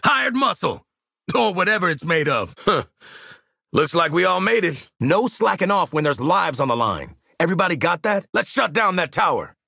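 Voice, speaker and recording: An actor playing a tough, cocky, wisecracking marksman mercenary in a video game, with a gravelly American voice and a brash, slangy delivery. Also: a little high, sharp tone, dry recording, clean studio sound, heavily acted. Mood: combative, urgent. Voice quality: gravelly, raspy. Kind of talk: video game combat voice lines.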